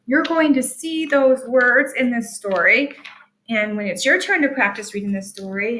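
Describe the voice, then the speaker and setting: A woman with a fairly high, bright voice leads a reading lesson for young children. She speaks slowly and patiently, stressing words in a sing-song teacherly way and enunciating each one with care. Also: reverberant, rough recording. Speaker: early-grade reading teacher. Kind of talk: sight-word lesson and picture book read-aloud for young children.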